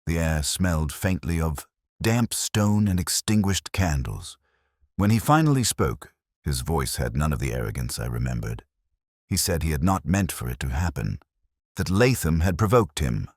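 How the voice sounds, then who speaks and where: A man narrating a mystery drama as a brooding, reflective detective inspector, in a measured, solemn and literary delivery. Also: a bass voice, very animated, darkish tone, breathy edge, no room sound, clean studio sound, full character voice. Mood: reflective, sombre. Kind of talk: scripted first-person mystery narration.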